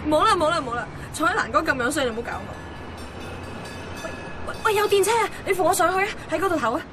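A woman's voice: very high voice, lively intonation, fast, loud music behind the voice. An actress playing a teasing, restless and wistful young woman with a Hong Kong accent in a naturalistic radio drama. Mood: distressed, whiny.

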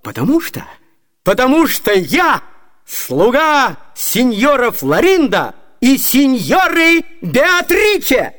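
An actor playing a cheeky, quick-witted servant in a comedy radio play, theatrical and boastful. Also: male voice, very high voice, wildly swooping pitch, a bit bright, heavily acted. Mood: excited, scheming.

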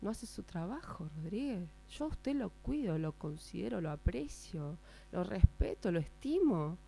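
A woman's voice: lowish voice, big pitch swings, normal speed, vocal fry, dark tone. A woman with an Argentine accent playing an insistent, patronizing supervisor who addresses her employee formally and repeats herself needlingly, in a radio drama. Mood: insistent, scolding. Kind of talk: radio drama workshop acting. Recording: amateur recording with mains hum.

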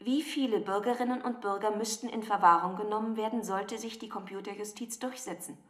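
A woman's voice: mid-range voice, steady tone, brisk, a bit bright, reverberant, close to an everyday voice. An actress playing a composed female ministry official in a German radio drama, asking probing questions in formal, precise language. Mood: challenging.

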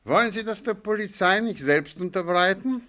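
An actor playing a shrewd amateur sleuth in a radio play, speaking in a measured, politely ironic way. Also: male voice, high-pitched, lively intonation, sharp tone. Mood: amused politeness.

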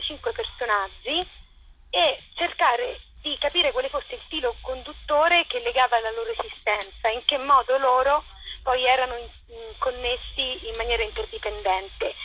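A woman being interviewed as an actress and writer, explaining thoughtfully and haltingly as she searches for words, over a lo-fi phone-like line. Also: on the high side, bright, forceful tone, breathy edge. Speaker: actress and co-author interviewed about her play. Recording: muffled lo-fi phone-like line with noise.

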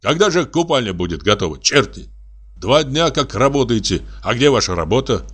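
A man's voice: mid-range voice, sing-song, moderate pace, a bit bright, slightly rough, pitched above the performer's own voice. A man as a stern, bossy country squire. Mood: scolding. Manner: strict, commanding, irritated. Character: stern, impatient country landowner, bossy gentleman.

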